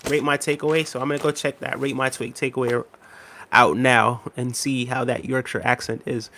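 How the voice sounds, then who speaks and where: A man in his thirties hosting a casual livestream, reading viewer comments aloud in a relaxed, repetitive way with many fillers, a little unwell and tired. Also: mid-range voice, normal speed, a little hiss or hum. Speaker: livestream host.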